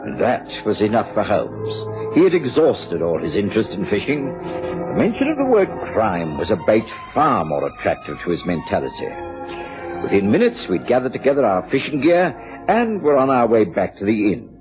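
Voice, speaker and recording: A man playing a genial, burly English doctor who narrates a radio detective drama in a genteel, measured manner. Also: lowish voice, wildly swooping pitch. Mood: reflective storytelling. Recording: muffled radio recording with music.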